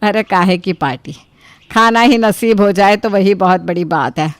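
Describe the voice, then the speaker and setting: A woman playing a middle-aged, self-pitying, sarcastic mother-in-law in an animated story, scolding and complaining dramatically. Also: mid-range voice, normal speed, no echo, natural voice. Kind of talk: animated story character dialogue.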